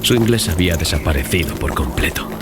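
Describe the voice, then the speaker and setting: A man with a very low voice narrates a gothic tale. His delivery is scripted, measured and literary, in the style of a dramatized audiobook. Music plays clearly behind him. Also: animated. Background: music bed.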